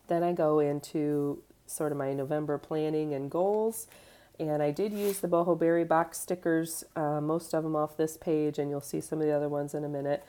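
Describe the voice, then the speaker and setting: A woman in her thirties from the United States, talking in a chatty, easygoing way with a low, mellow voice. She is getting over a cold, and she talks at home in her kitchen, stopping now and then for fillers and small corrections. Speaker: vlogger. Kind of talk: casual show-and-tell.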